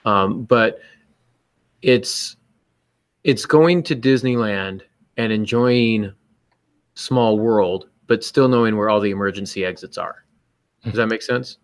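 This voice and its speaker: A man's voice: fairly low pitch, slow, long pauses. A man hosting a podcast, casually explaining his views on writing in a conversational way. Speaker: podcast host and writer.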